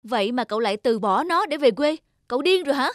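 Excitable, disapproving cartoon friend. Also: male voice, very high voice, fast, no room sound, studio quality. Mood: shocked disbelief. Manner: exclamatory, incredulous. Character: excitable, disapproving young male office friend. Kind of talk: cartoon dubbing.

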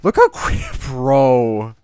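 A young man narrating his game story, then reacting excitedly and laughing in voice chat with his teammate. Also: mid-range voice, very slow. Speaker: gaming YouTuber.

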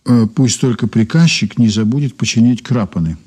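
An actor playing a composed investigator in a radio play, measured and dryly ironic. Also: male voice, medium pitch, warm tone, breathy edge, natural voice. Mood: businesslike, courteous. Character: composed, wry male investigator recounting an old case. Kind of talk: radio drama dialogue and narration.

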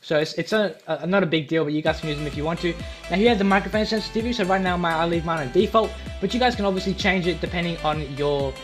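A young man giving a casual, informal software tutorial, repeating himself as he explains. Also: high-pitched, fast. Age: young adult. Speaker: tutorial video host. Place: small home room. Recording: faint music behind voice.

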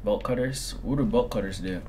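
A man in his twenties commentating on a game through a headset, excitable and slangy, repeating himself. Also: fairly low pitch, brisk, noisy background. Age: 20s. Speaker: gaming streamer. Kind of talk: casual gameplay commentary.

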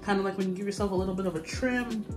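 Bubbly woman hosting a kitchen video, mid-pitched, chatty and teasing, music playing behind. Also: fairly even intonation, rapid-fire. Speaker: host. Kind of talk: casual cooking show chat. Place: home kitchen, indoors. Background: background music.

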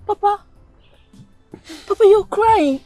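A woman's voice: very high-pitched, animated, slow, dark tone, faint music behind, full character voice. A young woman with a Nigerian accent playing a caring, pleading daughter in a film drama. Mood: soothing. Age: young adult.

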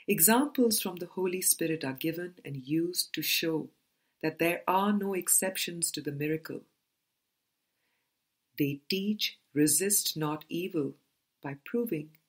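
Slow, low-voiced woman reading aloud. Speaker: audiobook reader. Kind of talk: scripted spiritual book reading.

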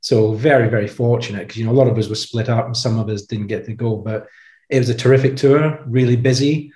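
A man in his fifties tells his stories in a chatty, animated way, peppering them with "you know." His voice sits at an ordinary pitch. He speaks into a webcam in an indoor room with a roomy echo. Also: quick pace. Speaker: podcast guest, former commando. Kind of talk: casual storytelling chat. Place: indoor room with a brick wall. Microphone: video call webcam.

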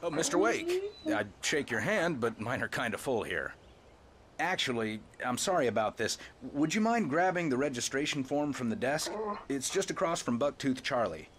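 A man's voice: medium pitch, big pitch swings, moderate pace, crowd noise, lightly acted. An actor playing a friendly, folksy male lodge keeper in a video game.

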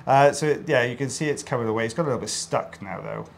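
A man's voice: medium pitch, quick pace, vocal fry, a little hiss or hum. A middle-aged man with a South Welsh accent, giving casual, joking commentary straight to viewers as an audiologist. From South Wales. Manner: joking, addresses viewers directly. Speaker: audiologist co-presenting a procedure video.

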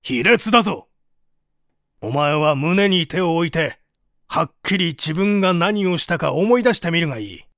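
Man acting a stern, highly expressive prosecutor. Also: moderate pace, no echo, clean studio sound. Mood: angry, accusing. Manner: authoritative, pressing. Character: stern male prosecutor, relentless, accusing interrogator. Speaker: audiobook reader. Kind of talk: dramatised reading of a mystery story.

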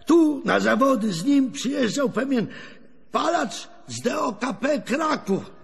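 A folksy old grandfather in a radio play, spinning wry, wistful tales in rustic speech. His voice is husky and creaky, with an unhurried delivery. It rings in a long reverberant space. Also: very high-pitched, big pitch swings, full character voice. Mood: amused, boastful. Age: older. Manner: rambling folksy storytelling, chuckling, rustic colloquial speech. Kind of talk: radio drama acting.

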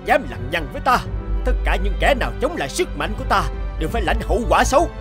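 Greedy, pompous cartoon overlord: highish, very animated delivery, mocking and blustering, over a music bed. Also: male voice, fast. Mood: angry threatening. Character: arrogant, bullying, greedy feudal lord, a fat man. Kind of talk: animated folk tale dub acting. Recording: music under the voice.

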